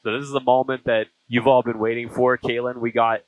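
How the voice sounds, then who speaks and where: A man's voice: medium pitch, flat delivery, a little fast, low-quality recording. A man hosting a casual quiz-style interview, chatting informally. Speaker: show host and pilot. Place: small aircraft cockpit.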